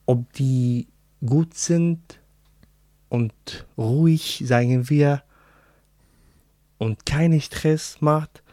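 A man with an Arabic accent, a native Arabic speaker, answering interview questions in halting, word-searching German. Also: medium pitch, mellow, no echo, clean studio sound. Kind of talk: casual interview.

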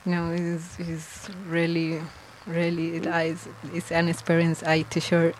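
A Colombian woman with a Spanish accent speaks slowly and haltingly in English, which is not her first language. Her voice sits a little low for a woman, and her lines trail off quietly while she hunts for words. She sounds like a guest DJ in a relaxed interview. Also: slight background noise. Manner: halting, searching for words, laughs often, soft trailing lines. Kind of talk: casual interview in non-native English.

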